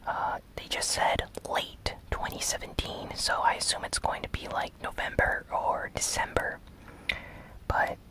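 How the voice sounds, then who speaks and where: The voice is a breathy, unvoiced whisper from start to finish. It belongs to an ASMR host who recaps gaming news in a soft, relaxed, unhurried way. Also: rough recording.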